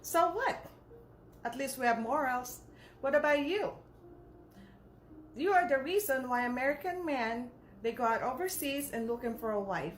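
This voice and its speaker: A woman's voice: high voice, expressive, moderate pace, long pauses, echoey room, slight background noise. A Filipino woman with a Filipino accent, scolding emphatically in a ranting video reply. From Philippines.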